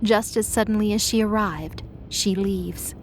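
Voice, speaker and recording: A woman's voice: medium pitch, measured pace, slight background noise. A woman narrating scripted dramatic audio fiction, reading as a storyteller.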